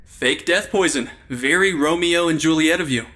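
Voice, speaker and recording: A man's voice: a little high, moderate pace, bright, forceful tone. A man playing a sarcastic, exasperated sidekick in a comedy audio drama. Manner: wisecracking, sarcastic.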